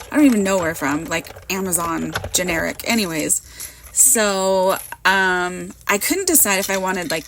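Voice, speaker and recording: A woman in her thirties or forties, hoarse from a cold, rambling in a chatty, self-mocking way as she narrates her card making at a craft table. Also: mid-range voice, a bit bright. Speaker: card maker. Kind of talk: casual crafting voiceover.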